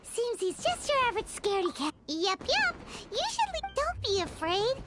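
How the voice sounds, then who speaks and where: A woman voicing a tiny, excitable, bossy childlike fairy companion in a video game, with a squeaky, piercing voice and an exclamatory manner. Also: moderate pace, loud music behind the voice. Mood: exasperated, bossy. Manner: speaks of self in third person, exclamatory. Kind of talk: video game character dialogue.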